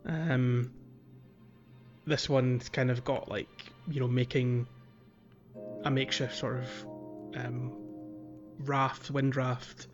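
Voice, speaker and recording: Higher-voiced man on a slightly muffled recording. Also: flat delivery, slow, long pauses, over music. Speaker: podcast co-host. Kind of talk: casual video game playthrough discussion.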